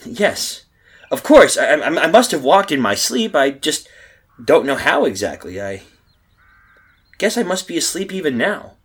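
A man acts out a bewildered, terrified hero telling his own radio horror tale. He stammers and breaks off dramatically, his husky voice swinging widely between dread and alarm. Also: a little high, big pitch swings, a little slow, pauses often, full character voice. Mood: flustered, agitated. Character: frightened, bewildered man stranded in a nightmare world. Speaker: actor narrating and playing the lead. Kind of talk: old-time radio horror acting, first-person narration.

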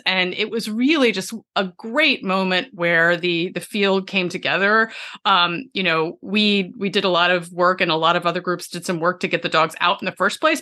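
A woman's voice: medium pitch, pushed and bright. A woman co-hosting a podcast in casual conversation, with an enthusiastic, playful manner. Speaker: podcast co-host.

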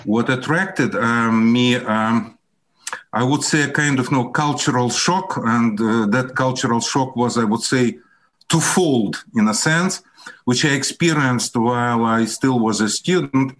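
An older man speaking English with a Russian accent, a professor thinking aloud as he answers. He pauses often and fills gaps while he searches for words. His voice sits at an ordinary pitch for a man. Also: a bit bright. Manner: hesitant, reflective, many fillers. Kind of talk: interview answers.

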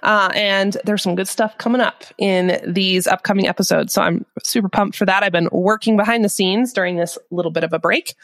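A woman's voice: mid-range voice, fairly bright tone, dry recording, clean studio sound. A woman podcast host with a head cold, talking in a nasal, upbeat and chatty way to her listeners. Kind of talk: casual podcast chat.

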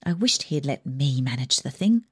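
A woman reading a storybook aloud in a lively, expressive narration. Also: extremely low pitch, moderate pace, slightly rough. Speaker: audiobook reader.

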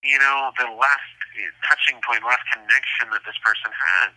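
A man's voice: medium pitch, fairly even intonation, normal speed, sharp tone. A man speaking as an interview guest in an earnest, emphatic way, over what sounds like a phone call. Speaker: incarcerated podcast guest. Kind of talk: conversational interview.

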